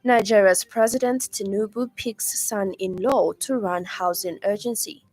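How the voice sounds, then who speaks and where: A woman's voice: mid-range voice, unhurried, darkish tone, faint music behind, rough recording. A woman with a Zambian accent reading a formal radio news bulletin, stumbling now and then over words. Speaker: news reader. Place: radio studio.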